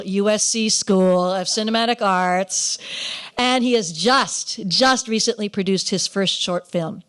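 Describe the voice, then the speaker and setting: Woman emcee at a live event, gushing and emphatic, pauses for effect, mid pitch. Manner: effusive, emphatic, halting with dramatic pauses. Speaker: awards ceremony emcee. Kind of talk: live event hosting, introducing presenters. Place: live in-person ceremony before an audience.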